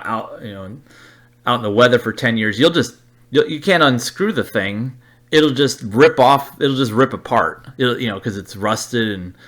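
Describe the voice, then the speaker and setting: A man's voice: lowish voice, bright, forceful tone. A man giving an informal slide-show review off the cuff, blunt and plain-spoken, rambling and repeating words as he thinks aloud. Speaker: presenter of an antenna review.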